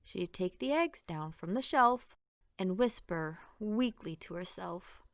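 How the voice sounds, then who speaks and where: Slow, measured woman reading a children's picture book. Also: fairly low pitch, no echo, studio quality. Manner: measured, expressive, sing-song phrasing. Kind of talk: read-aloud children's storytelling in rhyme.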